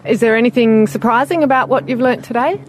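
An interviewer with a mid-pitched voice. The questions are prepared and delivered in full sentences to a guest. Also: fast, a little hiss or hum.

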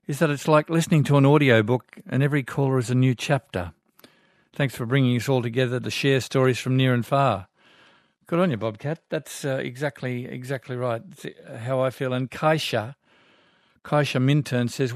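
A man's voice: mid-range voice, no echo. An older Australian man hosts a talkback radio show, chatting in an easygoing, folksy way as he reads out letters from listeners. His voice sits in the middle of the usual male range. It is recorded close and dry in a studio. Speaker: radio host. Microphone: studio microphone.

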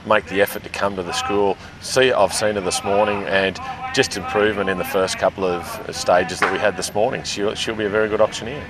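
A man's voice: lowish voice, fairly even intonation, crowd noise. A man, course coordinator of an auctioneering school, speaking plainly with fillers in an interview. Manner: plain-spoken, halting with fillers.

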